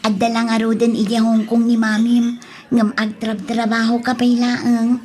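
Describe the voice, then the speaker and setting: A woman's voice: fairly high pitch, monotone, normal speed, warm tone, close to an everyday voice. A woman with an Ilocano accent playing a sweet but bossy young waitress in a radio drama. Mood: teasing.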